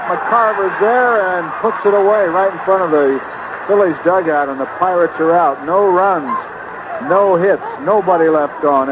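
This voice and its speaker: A man's voice: sits high, muffled recording. An American man calling baseball play-by-play live on a vintage radio broadcast. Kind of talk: live radio sports commentary. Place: outdoor ballpark broadcast booth. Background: loud noise and outdoor ambience.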